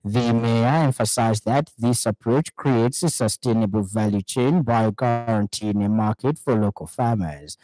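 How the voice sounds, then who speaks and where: A man with a Zambian accent reading a scripted radio news bulletin in a formal, measured manner. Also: medium pitch, dark tone, dry recording, poor audio. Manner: formal, measured newsreader delivery.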